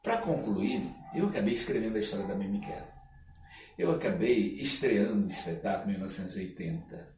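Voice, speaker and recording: A man's voice: fairly low pitch, lively intonation, brisk. An older man with a Brazilian accent tells anecdotes conversationally, acting out dialogue and checking in with short tag questions. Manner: acts out quoted dialogue, frequent tag questions. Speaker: podcast guest, theatre artist and writer. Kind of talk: animated anecdotal storytelling in casual conversation. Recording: roomy echo, distant mic, faint noise.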